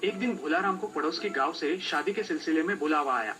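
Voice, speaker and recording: A man's voice: a little high, fairly quick, low-quality recording. A man narrating a scripted children's folk tale as a storyteller. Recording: music behind the voice.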